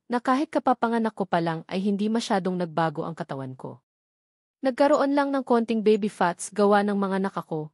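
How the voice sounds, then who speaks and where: A woman's voice: medium pitch, a little mellow, no room sound, clean studio sound. A woman narrating a first-person romance story from a script.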